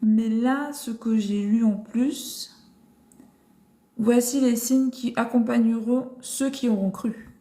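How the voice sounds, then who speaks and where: Woman host, live-stream Bible chat, mellow tone, halting and searching, echoey room. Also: a little high, fairly even intonation. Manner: thinks aloud with many restarts and fillers. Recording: roomy echo.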